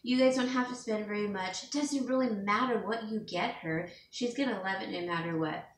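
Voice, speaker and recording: A woman's voice: medium pitch, a little fast. Chatty woman vlogging from across a room. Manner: rambling, chatty, with fillers and small laughs. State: sniffling from allergies. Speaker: home stager and DIY vlogger. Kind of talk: casual chat to camera. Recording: echoey room, distant from mic, faint hiss.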